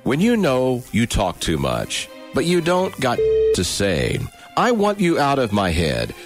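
A man, a radio news director, giving a deliberate, deadpan spoken recital of pop lyrics like poetry. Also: medium pitch, over music. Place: radio studio.

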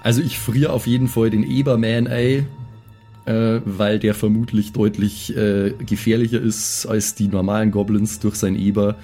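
A man plays a tabletop role-playing game, talking casually through his moves. His voice is on the low side and dark in tone, over faint music. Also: little pitch movement, normal speed.

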